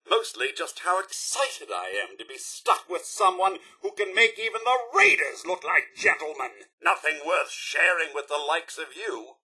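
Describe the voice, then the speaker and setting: A man's voice: a little high, pushed and bright, slightly rough, full character voice, poor audio. A man voicing a fussy, devoted robot butler in a video game, speaking with a British accent in a prim, formal, theatrical way.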